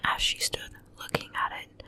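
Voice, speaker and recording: A woman reads a bedtime story in a soft, breathy ASMR whisper, hushed and gentle, close to the microphone from ear to ear. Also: moderate pace. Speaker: ASMR reader. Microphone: close ear-to-ear stereo microphone.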